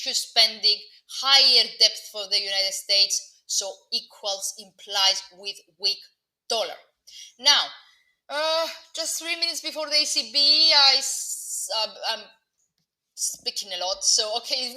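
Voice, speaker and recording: Bright-voiced Greek Cypriot woman analyst. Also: medium pitch, rough recording. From Cyprus. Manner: hesitant, many fillers and restarts, chatty asides to viewers. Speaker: market analyst presenting a live webinar. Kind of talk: live market commentary.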